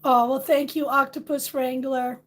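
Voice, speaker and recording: A middle-aged woman with a high, hoarse voice hosts a casual livestream. She rambles warmly and greets her viewers by name as she chats. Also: little pitch movement, normal speed. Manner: warm, rambling, reads out viewer names. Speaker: livestream host. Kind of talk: casual live chat with viewers.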